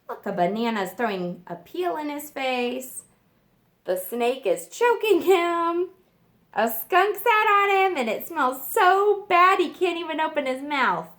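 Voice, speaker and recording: Lady kindergarten teacher doing storytime, high bright voice, asks the kids stuff as she goes. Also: measured pace. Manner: expressive, asks listeners questions. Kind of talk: children's read-aloud, explaining to young listeners.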